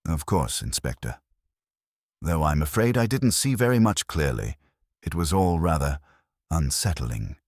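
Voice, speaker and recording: A man voicing a shy, nervous woman who works in a library, gentle, careful and hesitant, in a mystery story. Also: very deep voice, sing-song, unhurried, no echo, studio quality, full character voice. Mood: uneasy hesitant.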